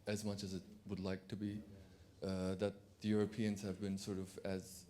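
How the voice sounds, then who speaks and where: A man's voice: low-pitched, completely flat delivery, moderate pace, mellow, slight background noise. A man from Turkey speaking from the floor at a conference, hesitant, with many fillers and restarts. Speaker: audience member.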